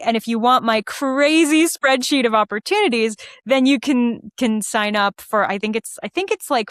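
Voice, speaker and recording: A woman chatting casually as a podcast guest, playful and animated in the way she talks. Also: a little high, clear, resonant tone, no echo, clean studio sound. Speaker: podcast guest, musical theater writer and performer. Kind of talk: casual interview chat.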